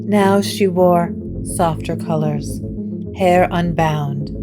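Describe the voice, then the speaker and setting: A woman giving measured, atmospheric scripted narration for a gothic story. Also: on the low side, steady tone. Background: plucked guitar music.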